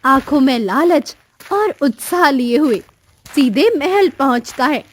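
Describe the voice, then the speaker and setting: A woman's voice: sits high, animated, slow, a little hiss or hum. A woman giving scripted storybook narration as the narrator of a cartoon tale.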